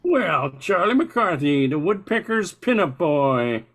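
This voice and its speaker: A man's voice: high voice, very animated, takes it slowly, fairly bright tone, exaggerated voice. A man voicing a grumbling, sardonic old comedian with a florid, mock-threatening manner in a radio comedy sketch.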